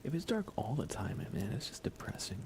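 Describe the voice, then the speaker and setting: A soft-spoken man doing casual ASMR game commentary on a live stream, often slipping into whispers. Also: on the low side, big pitch swings, brisk. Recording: clear background noise.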